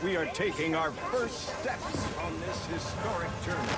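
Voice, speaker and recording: An actor playing a proud utopian Soviet orator, delivering a solemn, declamatory propaganda speech in a video game. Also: male voice, sits high, animated, a little slow. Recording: distant voice under loud music.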